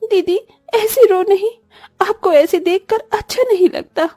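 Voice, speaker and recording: A very high, mellow little girl's voice, acted by a woman for a cartoon story. She is a poor younger sister who complains and pleads plaintively. The recording is close and dry. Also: measured pace, no room sound. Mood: whiny, plaintive. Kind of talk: Hindi cartoon story voice acting.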